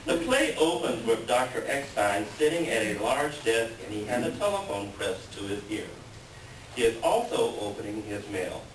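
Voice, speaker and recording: A man introducing a staged play reading as announcer, reading aloud and speaking in a halting way. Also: fairly low pitch, expressive. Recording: echoey room, distant mic, clear noise.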